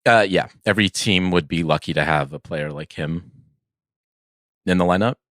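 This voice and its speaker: Deep-voiced young man chatting casually. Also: moderate pace, fairly bright tone, no echo, studio quality. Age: 20s. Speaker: baseball podcast co-host. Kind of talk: casual chat.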